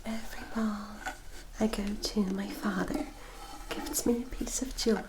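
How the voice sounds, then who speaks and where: A woman playing a gentle, caring elder vampire sister of noble society in an ASMR roleplay, speaking softly, formally and soothingly straight to the listener. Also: a little high, fairly even intonation, natural voice, poor audio. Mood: gentle, generous. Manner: slow, soft, formal and soothing, addressing listener directly.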